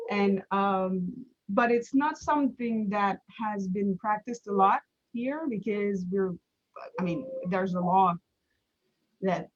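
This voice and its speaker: A woman in her thirties from Quebec with a Quebec French accent, whose first language is French, speaking as a guest on a live video call and laughing lightly as she talks. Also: medium pitch. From Quebec, Canada. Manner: slow, measured, laughs lightly. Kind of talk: casual live interview. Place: home room. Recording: dull lo-fi video call audio.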